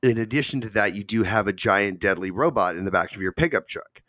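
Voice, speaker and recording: A man's voice: fairly low pitch, fairly quick, a bit bright, no room sound, clean studio sound. A man narrating as game master of a tabletop game, in a loose, chatty way full of fillers and restarts.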